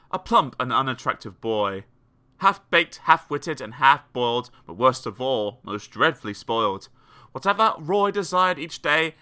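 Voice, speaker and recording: Animated man reading children's verse. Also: medium pitch. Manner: theatrical, expressive. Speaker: audiobook narrator. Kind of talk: dramatic children's poetry reading.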